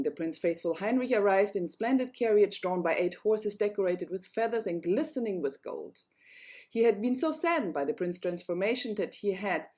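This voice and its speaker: A woman's voice: fairly low pitch, warm tone. A woman storyteller narrating a fairy tale, reading it aloud.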